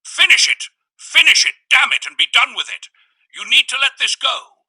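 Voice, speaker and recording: A man's voice: on the high side, wildly swooping pitch, normal speed, sharp tone, husky, pitched above the performer's own voice, full character voice. A man voicing an overly cheerful, pushy young man who turns unhinged, in a chirpy sing-song way. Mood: frenzied. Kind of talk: storybook character voice.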